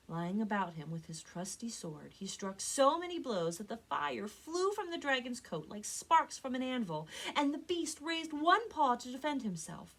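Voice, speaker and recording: A woman reads a story aloud expressively, with deliberate, dramatic phrasing and strong emphasis, like a librarian at story time. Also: medium pitch, breathy edge, poor audio. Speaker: librarian reading stories for a library program.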